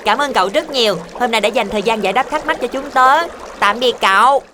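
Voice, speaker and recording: A woman's voice: a little high, brisk, background noise, natural voice. A woman voicing a polite, cheerful child who leads a superhero team in a children's cartoon.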